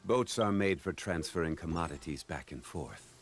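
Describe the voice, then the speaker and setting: A man playing a calm, dignified, wistful gentleman scholar in a video game, speaking in a measured, formal, reflective way. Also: low-pitched. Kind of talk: video game character dialogue.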